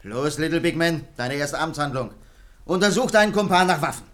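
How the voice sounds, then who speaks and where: Brusque soldier, high-pitched bark. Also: male voice, lively intonation, fairly quick, fairly bright tone. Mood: commanding. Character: brusque soldier making an arrest, barking orders. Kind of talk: German radio drama acting.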